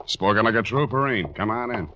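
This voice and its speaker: An actor with an American accent playing a dry, wisecracking hard-boiled private detective in 1940s radio style. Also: male voice, lowish voice, expressive, fairly quick, close to an everyday voice. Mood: dry, curious. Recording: muffled, noisy old radio recording.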